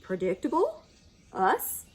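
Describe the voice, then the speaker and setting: Woman acting a mild, befuddled storybook husband, slow-spoken. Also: mid-range voice, wildly swooping pitch, exaggerated voice. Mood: puzzled.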